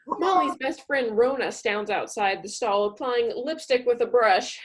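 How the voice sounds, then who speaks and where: A woman's voice: a little high, a little slow. A woman giving wry, theatrical scripted comedy narration. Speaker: comedy troupe performer, narrator.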